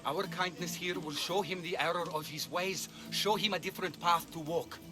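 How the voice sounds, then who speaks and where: A man playing a conscience-torn ancient healer in a video game, earnest and measured. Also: on the high side, steady tone, lightly acted. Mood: grateful, earnest. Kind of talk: dramatic game cutscene dialogue. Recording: music bed behind voice.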